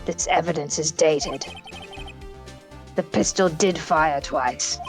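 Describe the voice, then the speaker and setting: A woman's voice: deep voice, slow, over music. A woman voicing a haughty, sneering male veteran prosecutor in a live amateur game dub, with a formal, condescending delivery.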